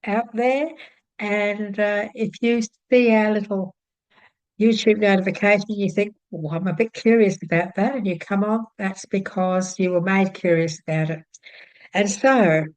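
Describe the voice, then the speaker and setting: Older Australian woman, homey chatty vlogger, middle pitch, dry close mic. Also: steady tone, a little slow, no echo, low-quality recording. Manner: chatty, warm-hearted, many fillers and restarts. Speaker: psychic channel host. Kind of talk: casual talk to camera. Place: home room with shelves of card decks.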